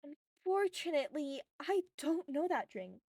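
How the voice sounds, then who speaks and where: A woman's voice: sits high, big pitch swings, measured pace, gravelly, a little mellow, no room sound, clean studio sound, pitched above the performer's own voice, heavily acted. A woman voicing a friendly, polite female bartender in a storybook reading, chatty and businesslike with customers. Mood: guarded, helpful.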